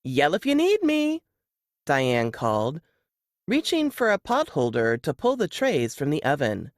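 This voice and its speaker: A woman's voice: low-pitched, wildly swooping pitch, a little slow, dry recording, studio quality. A woman reading fiction aloud as scripted audiobook narration.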